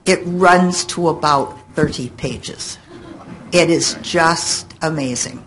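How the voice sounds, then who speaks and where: An older woman answering questions on a panel, haltingly, thinking aloud, into a table microphone in a conference room. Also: sits low, slight background noise, poor audio. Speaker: panelist and longtime fan. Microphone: table microphone on stand.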